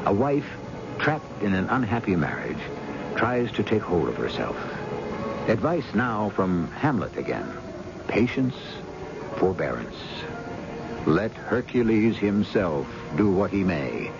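A brooding storyteller introduces radio mystery drama. His voice is very deep and creaky, moving theatrically up and down with deliberate, portentous phrasing. It comes from a dull old broadcast. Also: sing-song, music in the background, full character voice. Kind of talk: scripted radio drama narration.

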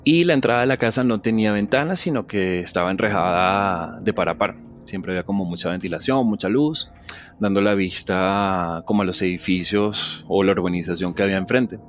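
A man tells a personal story in a soft, reflective, halting way. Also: deep voice. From Venezuela.

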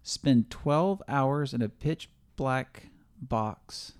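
A man's voice: medium pitch, extremely slow, clean tone. A man co-hosting a podcast, speaking casually and thinking aloud as he sets up a question. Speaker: podcast co-host. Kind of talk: casual chat.

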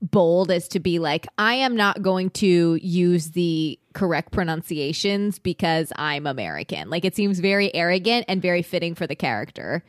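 A woman co-hosts a casual podcast, her voice sitting a bit low. She hesitates often and circles back with fillers as she thinks out loud. The recording is dry and close. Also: lively intonation, moderate pace, few pauses, no echo. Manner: hesitant, many fillers and restarts. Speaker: podcast co-host. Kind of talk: casual chat about a TV show.

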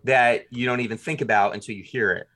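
A man's voice: medium pitch, steady tone, quick pace, pushed and bright. A man talking as a guest in a podcast interview, explaining his production work in detail and acting out short examples.

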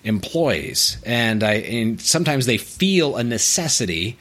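A man co-hosting a podcast, speaking in a thoughtful, measured way with frequent "you know.". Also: mid-range voice, very animated, a bit bright. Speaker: podcast co-host. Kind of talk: conversational podcast chat.

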